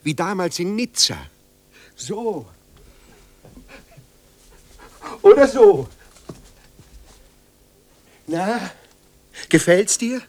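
An actor in a German radio drama playing a sardonic, melancholy writer who coaxes and wheedles with irony. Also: male voice, high voice, animated, very slow, long pauses, a little mellow, exaggerated voice. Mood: desperate, pleading. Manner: coaxing, ironic, wheedling. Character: sardonic, pleading man, a hard-drinking melancholy writer.

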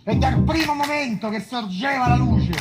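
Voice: medium pitch, moderate pace, close to an everyday voice. A performer playing a fervent Roman commoner recounting a bloody siege, emphatic and rhythmic, with a Roman accent, over guitar music. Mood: mournful, urgent. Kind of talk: dramatic stage storytelling over live music. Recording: live guitar music under the voice.

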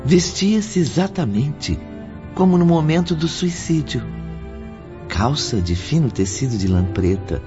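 A grave storyteller narrates a Brazilian radio drama slowly and theatrically. His lowish voice swings expressively, with music behind it. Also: expressive. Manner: theatrical, deliberate, solemn. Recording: dull lo-fi audio under music. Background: background music.

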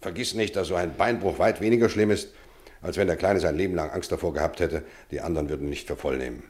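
A man's voice: deep voice, quick pace, lightly acted. A man playing a kindly, firm schoolteacher. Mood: gentle. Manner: calm, reassuring, gently firm. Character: kindly stern male boarding-school teacher. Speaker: teacher. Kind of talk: 1950s radio play acting.

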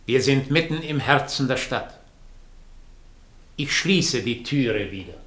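A sharp-voiced, menacing male double. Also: medium pitch, animated. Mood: calm, commanding. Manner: deliberate, imperious. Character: menacing, commanding doppelgänger, a man who claims to read thoughts. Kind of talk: 1960 German radio play acting. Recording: echoey vintage radio studio.

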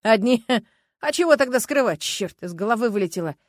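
A helpful male friend chats casually on the phone, voiced by a woman narrator. He sounds relaxed and ordinary. The recording is close and dry. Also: a little high, brisk, dry recording, pitched above the performer's own voice, close to an everyday voice.

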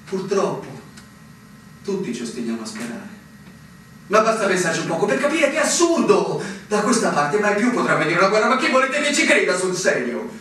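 An actor playing a weary, cynical senior army officer in a theatrical radio play, declamatory, swinging from outbursts to murmured resignation. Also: male voice, high voice, wildly swooping pitch, fairly quick, pushed and bright, full character voice. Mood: weary, resigned. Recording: echoey room, distant mic, faint noise.

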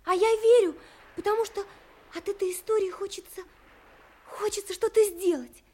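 An actress playing a naive, lively, emotional young woman in an audio drama, expressive and girlish. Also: very high-pitched, normal speed. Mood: earnest.

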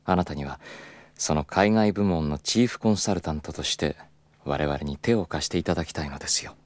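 A very low-voiced, self-assured executive for an audio drama. He speaks in polite, unhurried business language with a persistent, insinuating edge, recorded dry and close. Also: no echo. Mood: suave, persuasive. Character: smooth, persistent, self-assured male club executive from Kyoto. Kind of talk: Japanese audio drama acting.

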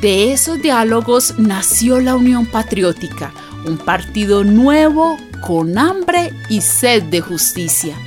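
A woman playing a neighbour who narrates a radio drama in an unhurried, confiding storytelling manner. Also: medium pitch.

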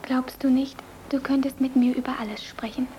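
An ardent young lover played by a woman in a German radio drama. Her voice is high and often drops to a breathy, wistful hush, swept up in feeling. Faint noise sits on the old recording. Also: fairly even intonation, normal speed, muffled recording, lightly acted. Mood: tender. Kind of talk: German radio play acting.

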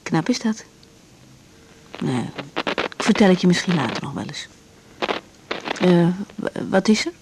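A woman's voice: on the low side, lively intonation, measured pace, creaky, pauses often. An actress in a radio drama playing a young woman doctor, hurt and bluntly confrontational, over a noisy recording. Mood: subdued, businesslike. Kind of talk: naturalistic 1980s radio drama acting.

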